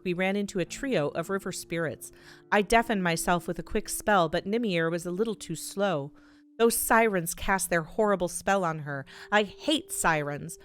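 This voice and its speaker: A woman's voice: medium pitch, natural voice. A woman voicing a vain, dismissive, skinless immortal villain who recounts memories in a visual novel game. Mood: grumbling. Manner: dismissive, grumbling. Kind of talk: reading visual novel dialogue in character.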